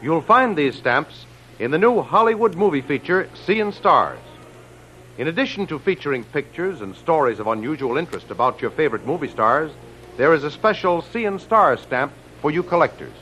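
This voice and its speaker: A man's voice: on the high side, very animated, moderate pace, pushed and bright, slight background noise, rough recording. A man announcing and narrating in a formal, declamatory 1930s radio style, reading from a script. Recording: old radio recording with music behind.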